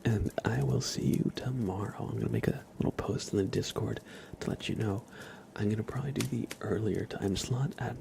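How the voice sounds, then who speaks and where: A man hosting a casual ASMR livestream, speaking softly and informally, often slipping into a breathy whisper. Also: on the low side, a little fast, background noise. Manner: relaxed, informal, uses y'all. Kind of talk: casual ASMR stream chat.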